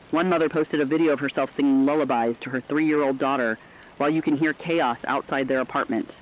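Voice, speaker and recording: A man plays a compassionate news correspondent in an apocalypse radio drama. His voice is medium in pitch, with somber, earnest and emotive delivery of scripted commentary. The sound is slightly dull and lo-fi, with faint noise behind it. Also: lightly acted.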